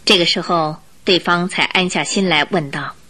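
A woman's voice: lowish voice, moderate pace, bright, forceful tone. A woman narrating a radio drama in a scripted, suspenseful storytelling style, posing questions expressively. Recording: faint music behind narration. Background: faint music.

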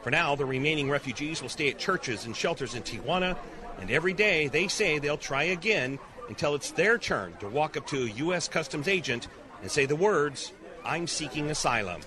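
A man's voice: fairly high pitch, expressive, moderate pace. A man working as a field news reporter, narrating a scripted report and asking questions out in the field. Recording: outdoor urban crowd noise. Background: crowd and street sounds outdoors.

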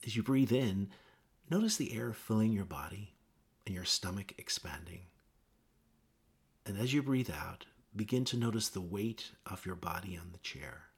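A man gently leading a guided meditation with measured instructions and pauses. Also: on the low side, lively intonation, breathy edge.

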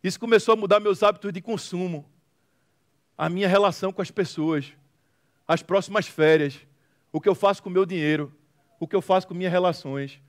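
High-voiced Brazilian man giving a testimony. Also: brisk. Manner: emphatic repetition, dramatic storytelling with humor. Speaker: guest speaker, social movement founder. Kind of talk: motivational testimony to a church audience.

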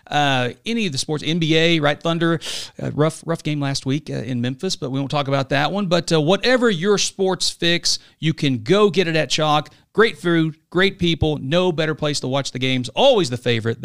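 Smooth-voiced chummy male host. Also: a little high, a bit bright. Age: 40s. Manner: upbeat, chummy, keeps asking "right?". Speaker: podcast host. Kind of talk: casual chat and ad read. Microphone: studio podcast mic.

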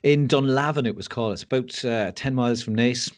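A man's voice: mid-range voice, fairly quick. An Irish man speaking as a podcast guest, telling his story candidly with frank, self-deprecating humour and lots of fillers and restarts. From Ireland.

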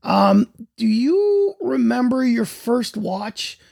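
A man's voice: extremely high pitch, very animated, slow pace, vocal fry, a little mellow. A man hosting a podcast, steering a casual discussion of a television show. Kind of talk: casual chat about a TV show.

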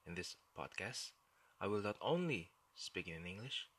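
A man's voice: low-pitched, big pitch swings, moderate pace, fairly bright tone, slightly rough. A man with an Indonesian accent, whose first language is Indonesian, hosting a solo history podcast in an earnest, explanatory way. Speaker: podcast host.

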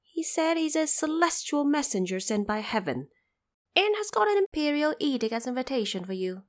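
A woman voicing a small, excited monkey messenger in a storybook, urgent and deferential. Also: sits high, normal speed, voice pushed up.

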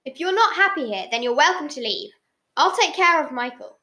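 A woman's voice: high voice, animated, fairly quick, pushed and bright, echoey room, pitched above the performer's own voice. A woman voicing a grumpy storybook aunt who dotes on her favourite and snaps at others. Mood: angry, bossy. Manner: doting to nephew, snappish to others.

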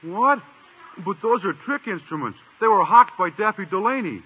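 A man's voice: high voice, animated, normal speed, husky. An actor playing a dim, earnest teenage shop boy with a gawky, naive way of talking, in a 1950s radio comedy. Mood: worried. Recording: muffled, reverberant old radio recording.

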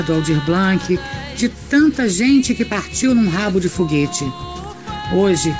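A woman with a Brazilian accent delivering scripted, conversational narration as the host of an audio drama podcast. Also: medium pitch. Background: music.

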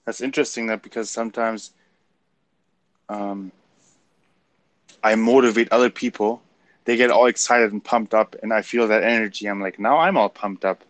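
A man's voice: lowish voice, normal speed, pauses often, no echo. Passionate German-accented man, dry close sound. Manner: passionate, gets loud when excited. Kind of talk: casual motivational chat.

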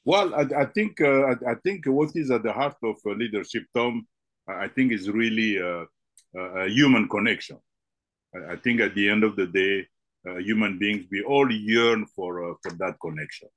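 A middle-aged man from the Congo, a podcast guest answering with many halting fillers and repeated words. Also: on the low side, a bit bright, dry recording.

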